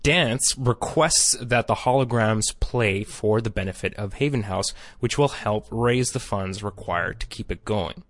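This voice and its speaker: A man's voice: lowish voice, unhurried, hardly any pauses, no room sound. Guy on a podcast recapping a cartoon, kinda hesitant, keeps correcting himself, dry close mic. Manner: hesitant, self-correcting, chatty. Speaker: podcast co-host recapping episodes. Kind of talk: casual chat mixed with read recap.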